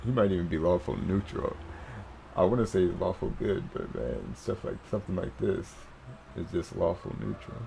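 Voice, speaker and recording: A man's voice: low voice, animated, moderate pace, creaky, warm tone, slight background noise, poor audio. A man giving casual live game commentary as a streamer, slangy and musing aloud.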